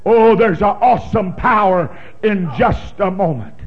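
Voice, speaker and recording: A man preaching a sermon, reading scripture in a big booming voice and breaking into fervent shouts. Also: a little slow, slightly rough, lo-fi sound. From Oklahoma. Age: 60s. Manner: fervent, emphatic pulpit delivery with sudden shouts.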